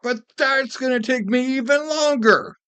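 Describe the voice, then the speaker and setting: A woman's voice: high-pitched, a little slow, fairly bright tone, clear, resonant tone, dry recording, voice pushed up. A woman voicing a scared male debtor who pleads defensively. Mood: nervous.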